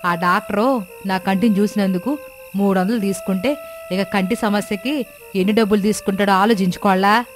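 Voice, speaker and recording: A woman's voice: medium pitch, a little fast, close to an everyday voice. Woman playing a fretful, grumbling village mother-in-law. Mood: fretful complaining. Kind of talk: children's moral-story cartoon voice. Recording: music bed behind the voice.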